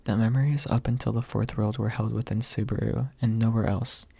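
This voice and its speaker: A man reading a story softly as scripted ASMR narration, in a hushed, measured way with a husky, breathy voice. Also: fairly low pitch, steady tone, no echo. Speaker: audiobook reader.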